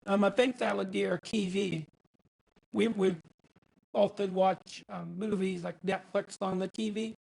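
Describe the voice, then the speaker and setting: Man voicing a high, gravelly, stubborn old fellow. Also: steady tone, unhurried, a little mellow, full character voice. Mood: hesitant, plain. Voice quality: raspy, creaky, high-pitched. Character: stubborn, thrifty, naive older man, a scam victim. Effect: AI voice changer. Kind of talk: improvised phone conversation.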